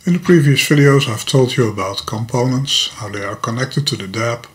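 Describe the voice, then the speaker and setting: A man's voice: medium pitch, fairly bright tone. Older Dutch man explaining patiently. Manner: patient, methodical explaining with small stumbles over words. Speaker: hobbyist teacher. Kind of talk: screen-recorded programming tutorial. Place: home workshop room with electronics.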